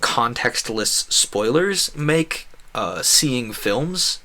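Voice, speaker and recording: A man's voice: medium pitch, expressive, extremely slow, pushed and bright. A man chatting casually as he streams a game, going off on rambling, enthusiastic tangents. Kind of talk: casual chat while playing a game.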